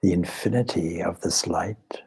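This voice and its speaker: A man leading a guided meditation as a teacher, speaking in measured, deliberate short phrases with pauses and a soft, husky voice. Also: low-pitched. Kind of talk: guided meditation, slow spoken instruction.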